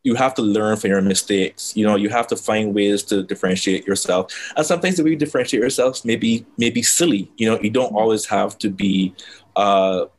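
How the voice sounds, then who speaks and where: Man, low voice, dry and reflective, interview guest. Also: brisk, a little hiss or hum. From Barbados. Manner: relaxed, reflective, self-described dry delivery. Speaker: guest, brand consultant. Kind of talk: casual interview conversation.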